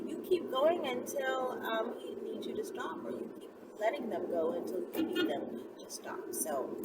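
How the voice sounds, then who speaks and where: A woman host chats casually to her viewers in a fairly high voice. She sounds some way from the microphone, and loud ambient vehicle noise runs behind her. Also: far from the mic. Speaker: channel host.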